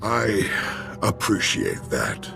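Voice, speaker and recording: Old war marshal, low voice, gruff, weary dignity, game cutscene, music behind. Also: male voice, huge pitch swings, drawn-out words, heavily acted. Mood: weary, conceding. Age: older. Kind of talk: dramatic video game voice acting.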